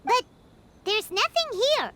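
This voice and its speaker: Tiny squeaky game fairy, super high and swoopy, excitable, noisy background. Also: female voice, slow pace, exaggerated voice. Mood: curious. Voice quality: squeaky, childlike. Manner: speaks of self in third person, cutesy. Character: small, childlike female fairy companion, excitable and easily scared. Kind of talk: video game voice acting.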